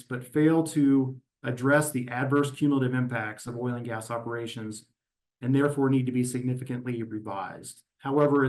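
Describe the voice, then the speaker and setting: Man giving measured formal testimony. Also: mid-range voice, a little mellow. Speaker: environmental advocacy representative testifying at a regulatory hearing. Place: virtual meeting.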